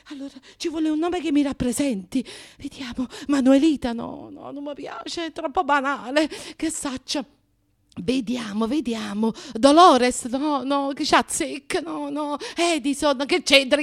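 A woman's voice: high-pitched, fairly bright tone. An actress playing a lovelorn, neurotic Neapolitan woman in a theatrical radio drama monologue, confessional and histrionic, swinging between murmurs and outcries. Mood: agitated, fussing.

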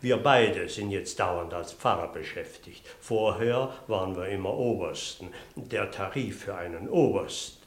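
Dignified impostor parson, roomy sound. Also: male voice, sits low, measured pace, few pauses. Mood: businesslike, pleased. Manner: polite, evasive, dignified. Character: smooth-talking professional impostor posing as a dignified parson. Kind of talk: German radio play acting.

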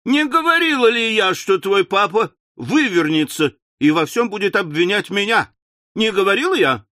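A man voicing a tearful, indignant middle-aged lady who reproaches her husband in a shrill, self-pitying way. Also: big pitch swings, a little slow, sharp tone, no room sound, studio quality, exaggerated voice. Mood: aggrieved wailing. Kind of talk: audiobook reading.

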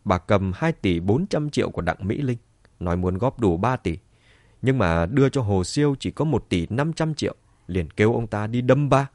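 A man's voice: lowish voice, expressive, moderate pace, darkish tone, no echo, clean studio sound, close to an everyday voice. A man voicing a cold, scornful man in an audiobook, sneering and controlled as he accuses.

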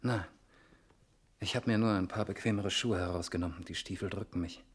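An actor in a 1960s German radio play, playing a reserved, wary nephew who speaks in terse questions. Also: male voice, low-pitched, fairly quick, slight background noise, natural voice. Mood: subdued, practical. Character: reserved, wary adopted nephew arriving at mountain chalet.

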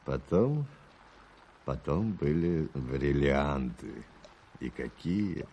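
A genial, wry friend telling tales in a radio drama. The voice is deep, dark and husky, and he takes his time, savouring each word. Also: very animated, drawn-out words, full character voice. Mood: amused, reminiscing. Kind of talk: Soviet radio play acting.

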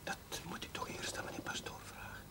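An earnest young chaplain, played by an actor in an old TV drama. He is proper and easily flustered, with a soft, hesitant, half-whispered delivery. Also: a little fast, natural voice. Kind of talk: 1970s Dutch television drama acting.

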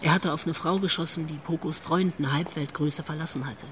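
A woman's voice: low-pitched, fairly even intonation, fairly quick, a little hiss or hum, natural voice. An actress playing a wry, worldly police investigator in a radio drama, telling what she knows in a knowing manner. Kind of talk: naturalistic radio drama acting.

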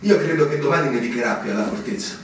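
A man's voice: medium pitch, lively intonation, quick pace, close to an everyday voice. Man acting anxious young lieutenant, very echoey. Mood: subdued, restless. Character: anxious, yearning young male lieutenant at a lonely fortress. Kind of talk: theatrical radio drama acting. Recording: very echoey, distant, noisy stage recording.

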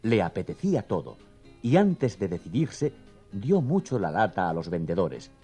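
A man narrating a scripted children's story in an expressive, theatrical storytelling manner. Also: fairly low pitch, normal speed, slightly rough, faint music behind.

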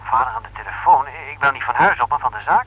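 Bright-voiced hesitant brother. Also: male voice, medium pitch, animated, rough recording. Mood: puzzled, brisk. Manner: hesitant, halting. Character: hesitant, worried brother phoning from work. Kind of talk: 1960s Dutch radio serial acting. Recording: old radio telephone scene, faint noise.

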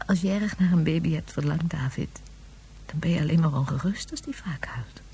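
An actress playing a gentle mother speaking softly and hushed at bedtime. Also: sits low, a little fast, breathy edge, heavily acted. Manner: soft, hushed. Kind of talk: radio drama acting.

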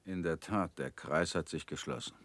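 An actor in a radio play playing a calm former prisoner who questions in a cool, probing, slightly ironic way. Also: male voice, rumbling low, normal speed.

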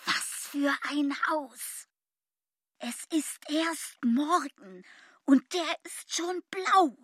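Nosy female tenant: very slow, high-pitched grumbling, brash, radio play. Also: fairly bright tone, heavily acted. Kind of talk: radio drama acting.

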